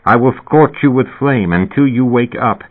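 A man's voice: medium pitch, normal speed, husky, voice pushed up, natural voice. A man voicing a stern mountain deity in an audiobook, solemn and commanding. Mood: commanding, threatening. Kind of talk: audiobook character dialogue. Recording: narrow, phone-like band.

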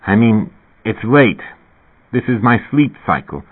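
Audiobook role: irritable, reluctant man read by a male narrator, weary, lower voice, narrow-band recording. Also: big pitch swings, measured pace, slightly rough, heavily acted. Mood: weary, protesting. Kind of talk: audiobook character dialogue.

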